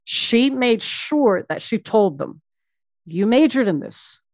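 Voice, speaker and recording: Animated middle-aged woman guest, dry sound. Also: fairly high pitch, slow, no room sound. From Ecuador, raised in Miami. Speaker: therapist and podcast guest. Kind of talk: casual interview storytelling.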